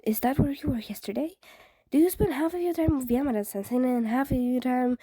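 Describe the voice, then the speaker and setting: Woman reading as a husky, insistent schoolboy. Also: sits high, a little fast, dark tone, no room sound, clean studio sound, pitched above the performer's own voice. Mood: curious, rapid. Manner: insistent, questioning. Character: living schoolboy, wary, blunt, inquisitive.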